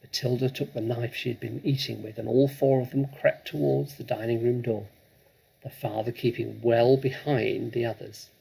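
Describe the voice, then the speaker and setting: Male storybook narrator: strongly raspy, gravelly, expressive, dramatic read. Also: mid-range voice, moderate pace, rough recording.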